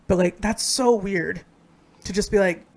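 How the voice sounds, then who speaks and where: A woman with a low voice that is hoarse and stuffy from a cold, ranting outspokenly in a casual chat as a podcast co-host. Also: unhurried, darkish tone. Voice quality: low, sick-sounding voice.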